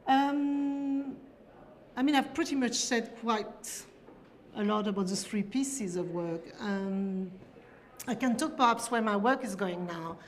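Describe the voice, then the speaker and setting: A woman's voice: a little high, slow. A middle-aged woman from France, an artist giving a talk about her own works in a searching, hesitant way. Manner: searching and hesitant, many "you know" tags. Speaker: artist presenting her work. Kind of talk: lecture about her artworks.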